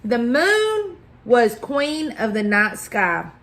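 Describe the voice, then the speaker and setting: A woman reading a children's picture book aloud as a story-time host, deliberate and expressive, pausing for effect. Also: a little high. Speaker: library story-time reader.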